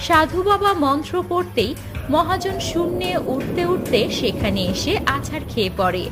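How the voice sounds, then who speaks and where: A woman's voice: high voice, sing-song, moderate pace. A woman narrating a scripted cartoon fairy tale as a storyteller. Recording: music bed under the voice.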